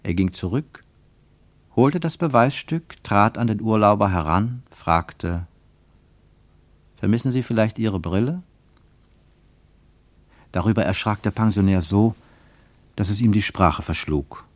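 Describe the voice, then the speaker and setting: A man's voice: lowish voice, expressive, a little slow, dry recording. A man reading scripted literary narration for a radio play, as its narrator.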